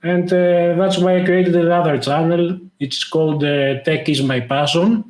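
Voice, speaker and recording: Man, halting, in a roomy echo. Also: fairly high pitch, fairly even intonation, a little mellow. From Greece. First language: Greek. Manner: halting, lots of "uh" fillers. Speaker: guest, tech YouTuber. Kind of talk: casual interview talk.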